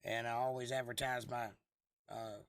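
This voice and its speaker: A man with a hoarse, froggy voice hosting a casual livestream, rambling and joking at his own expense as he replies to viewers. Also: medium pitch, flat delivery, normal speed, fairly bright tone, no echo, clean studio sound. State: lost voice for months, throat clearing. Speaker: livestream host.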